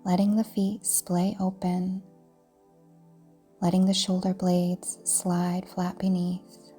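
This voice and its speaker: A woman yoga teacher narrating a guided meditation in a soft, breathy, soothing way, deliberately, with pauses. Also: lowish voice, completely flat delivery, faint music behind. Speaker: yoga nidra teacher guiding a practice.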